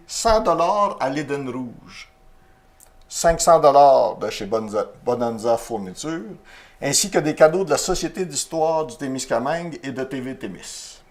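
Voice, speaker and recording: A man's voice: mid-range voice, darkish tone. A middle-aged man with a Québécois French accent, hosting a TV quiz show in a chatty, jokey, self-deprecating way. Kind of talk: casual game show hosting.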